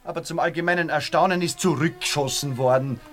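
An actor playing a put-upon, quietly defiant Viennese employee in a radio play, dry and sardonic. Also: male voice, a little high, a little fast, lightly acted. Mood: dry, pointed. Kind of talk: radio play acting in dialect.

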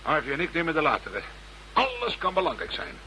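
An actor playing a gruff, sardonic investigator who leads a case in a radio play. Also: male voice, on the high side, wildly swooping pitch, a little fast, pushed and bright, heavily acted, rough recording. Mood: dry, brisk. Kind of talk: scripted radio play acting. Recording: faint background hum and noise.

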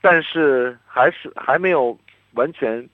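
A man in an unscripted phone conversation, speaking in a halting, puzzled way. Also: medium pitch, dry recording. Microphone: telephone. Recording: tinny narrow phone line, very dry.

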